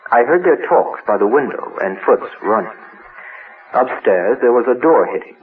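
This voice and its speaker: A man's voice: mid-range voice, expressive, moderate pace, natural voice. A man voicing a chained, hurt monster child in a horror monologue, telling its story in simple, broken childlike sentences. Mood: wistful, wary. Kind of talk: first-person dramatic horror monologue. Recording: noisy, tinny narrow-band radio-like sound.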